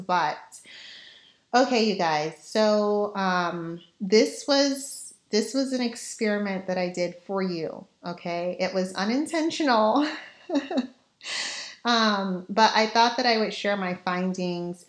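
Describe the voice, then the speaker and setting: A young woman hosting a casual solo podcast, chatty and candid, her voice raspy and tired from a late night. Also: medium pitch, roomy sound. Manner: chatty, candid, laughs at herself. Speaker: podcast host.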